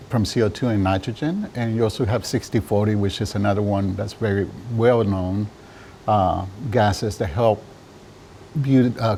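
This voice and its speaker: A man from Mexico giving casual interview answers about his trade, often halting as he searches for words. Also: lowish voice, creaky, dark tone, a little hiss or hum. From Juarez, Mexico. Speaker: interviewed draft beer system installer and business owner.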